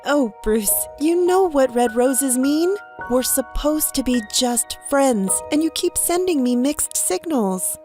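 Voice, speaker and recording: A woman's voice: sits high, lively intonation, slow pace, few pauses, mellow, soft background music. A woman playing a guarded, defiant stage magician in an audio drama, with a wry, self-assured manner. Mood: playful.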